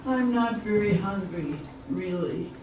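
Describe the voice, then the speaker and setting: A woman acting as a polite, wistful dancer in an amateur radio drama, gentle and hesitant. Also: medium pitch, slow pace, background noise, lightly acted. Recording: very echoey hall from far off, music.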